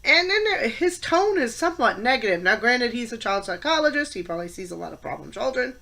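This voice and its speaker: A woman in her fifties talks into the camera for a class vlog, her voice stuffed up with a head cold. Her tone is bright and tense and sits a little high. She lands on her words firmly, with pauses and fillers between them. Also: big pitch swings, vocal fry. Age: 50s. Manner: opinionated, emphatic, with pauses and fillers. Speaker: college teacher and student.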